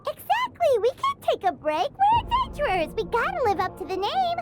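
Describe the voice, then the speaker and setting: A tiny fairy sidekick with an extremely high, squeaky child's voice that bounces up and down. The little companion is earnest, loyal and excitable, in video game style, with loud music underneath. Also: female voice, normal speed, heavily acted. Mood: enthusiastic. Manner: speaks of herself in the third person, exclamatory. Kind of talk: video game character acting.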